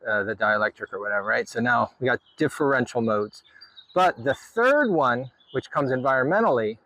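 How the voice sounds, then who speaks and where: A man's voice: medium pitch, a bit bright, no room sound, low-quality recording. Informal man explaining a hands-on project, dry sound. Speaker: hobbyist vlogger. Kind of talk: casual hands-on demonstration and explanation.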